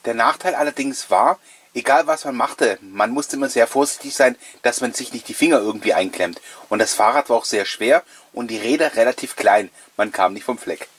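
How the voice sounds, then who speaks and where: Middle-aged male host, rough-edged husky voice, mid pitch. Chatty, jokey, nostalgic catalogue commentary. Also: brisk. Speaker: host of a collectors' video series.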